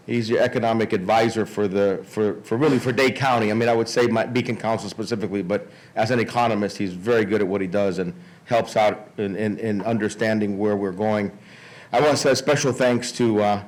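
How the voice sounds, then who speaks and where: A man giving an informal public speech, often repeating words and restarting as he goes. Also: mid-range voice. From Miami, United States. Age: 60s. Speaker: conference speaker.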